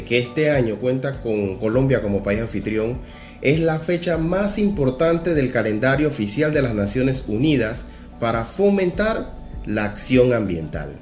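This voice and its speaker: A man's voice: mid-range voice, darkish tone. A man presenting a programme as its host, addressing the audience directly. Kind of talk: presenting a programme to camera or listeners. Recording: roomy echo, music underneath.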